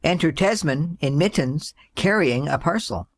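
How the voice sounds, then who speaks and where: A woman's voice: low-pitched, animated, a little slow. A woman narrating a scripted play, reading out its stage directions.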